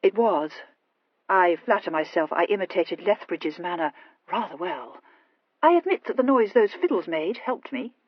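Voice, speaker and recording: A woman voicing a suave, unruffled English earl with a measured, dryly amused manner in an audiobook story. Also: lowish voice, dry recording, highs cut off. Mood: dry, understated. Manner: calm, measured, dryly understated.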